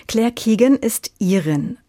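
A woman's voice: on the high side, lively intonation, takes it slowly, dark tone. A woman presenting book reviews as a radio host, reading scripted introductions and putting questions to a guest.

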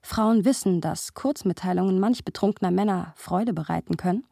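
A woman's voice: lowish voice, moderate pace, vocal fry, mellow, dry recording, clean studio sound. An actress playing a wry, playful young woman who narrates memories of a friend in an intimate radio play style.

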